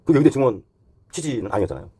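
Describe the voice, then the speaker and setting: A man delivers current-affairs commentary at a quick pace, earnest and critical as he poses rhetorical questions. His voice is dark and somewhat raspy, and the recording is close and dry. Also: mid-range voice, very animated, no echo. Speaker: host and commentator. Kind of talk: current-affairs opinion monologue.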